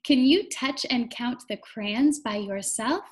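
A young woman reading aloud to small children in an encouraging, sing-song teacher's manner, guiding them along deliberately. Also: fairly high pitch. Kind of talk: interactive children's read-aloud lesson.

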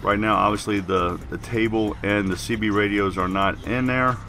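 A man's voice: fairly low pitch, steady tone, normal speed, bright, forceful tone, soft background music. A man giving casual, plain-spoken game walkthrough commentary as a video host while getting over a cold.

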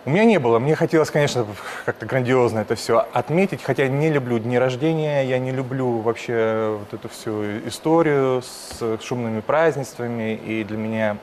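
A man in his thirties from Ukraine, a singer answering interview questions in a hesitant way, with pauses and restarts. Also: medium pitch, slight background noise. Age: 30s. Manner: hesitant, soft-spoken, many pauses and restarts. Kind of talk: casual interview talk.

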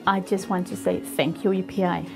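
Gravelly-edged Venezuelan woman, music behind. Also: on the low side, level tone. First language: Spanish. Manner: earnest, halting non-native English. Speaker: kindergarten Spanish teacher. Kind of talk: personal testimonial interview.

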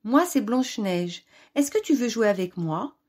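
A woman's voice: a little high, animated, moderate pace, a little mellow. A woman voicing a friendly, curious young female cat in a children's story, childlike and full of questions. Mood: curious, playful.

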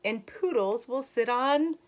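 A bold, playful storybook frog voiced by a woman that chants silly rhymes in a slow, sing-song way. The voice sits high and bright. Every rhyme is stressed.

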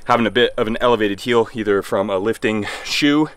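A man's voice: lowish voice, fairly quick, a bit bright, no echo. A man in his thirties giving a laid-back, enthusiastic voice-over as a fitness coach explaining exercises. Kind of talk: workout voice-over instruction. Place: outdoor home gym by a garage.

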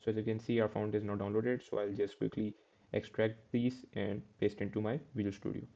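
A man's voice: lowish voice, flat delivery, a little fast, creaky, mellow, muffled recording. A man teaching a step-by-step coding tutorial over a screen recording, correcting himself as he goes. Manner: step-by-step, self-correcting with "uh" and "okay". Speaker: programming tutor.

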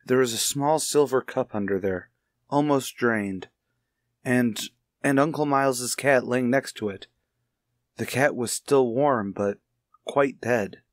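Guy playing worried husband in a radio mystery, halting, pleading, mid voice, really dry sound. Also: mid-range voice, dry recording, lightly acted. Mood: grave, uneasy. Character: anxious husband confiding a grim suspicion to his friend. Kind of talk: radio mystery drama acting.

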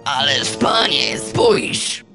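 A servile gardener minion in a cartoonish fantasy game. He is eager and obsequious toward his dark master. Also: a little high, expressive, drawn-out words, vocal fry, over music, exaggerated voice. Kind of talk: comic fantasy game cutscene acting.

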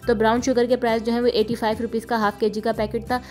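Flat-toned Pakistani woman vlogger. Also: fairly high pitch, fast. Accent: Pakistani Urdu. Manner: steady, listing prices in a level, informative tone. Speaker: shopping vlogger. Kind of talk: vlog voice-over. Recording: voice-over with faint music behind.

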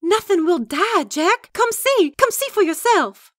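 A woman voicing a bold, lusty, sharp-tongued young frontier woman in a comic book dub, with theatrical emphasis. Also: very high-pitched, animated, unhurried, no echo, studio quality. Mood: urgent.

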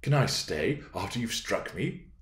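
A man voicing a genteel young gentleman suitor, gentle and subdued, in an audiobook reading of a classic novel. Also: lowish voice, sing-song, measured pace, echoey room. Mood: wounded, subdued.

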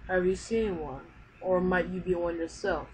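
A woman voicing a haughty, cryptic male god of destruction in a game roleplay, with a lordly, deliberate manner. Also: fairly low pitch, lightly acted. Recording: noisy home recording.

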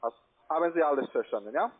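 A man with a foreign accent giving a pushy, repetitive phone sales pitch in halting non-native German. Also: lively intonation. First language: not German. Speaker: call centre investment salesman. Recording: tinny band-limited phone line.

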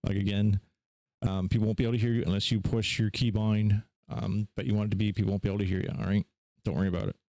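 Male tutorial host, lowish gravelly voice, offhand and informal, close dry sound. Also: level tone, fast, mellow, no room sound. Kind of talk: casual screen-share software tutorial.

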